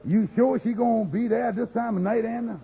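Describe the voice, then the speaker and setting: A man's voice: very high voice, fairly even intonation, fairly quick, gravelly, husky, heavily acted. A man playing a good-natured, inquisitive cab driver in a folksy, questioning way in a 1950s radio comedy. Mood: curious, friendly. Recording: muffled, hissy old radio recording.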